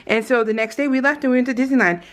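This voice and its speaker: A woman's voice: high voice, very fast. Chatty, rambling American woman telling stories. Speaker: vlogger hosting a scrapbooking process video. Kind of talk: casual unscripted chat, storytelling.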